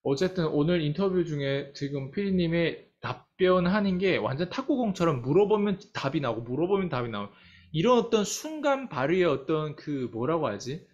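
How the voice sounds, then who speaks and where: Male interviewer, a bit high-pitched, meandering friendly questions, many fillers, muffled recording. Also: animated, moderate pace, darkish tone. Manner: hesitant, long winding questions, frequent "mm" backchannels. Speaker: interviewer, coaching company head. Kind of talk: casual interview hosting.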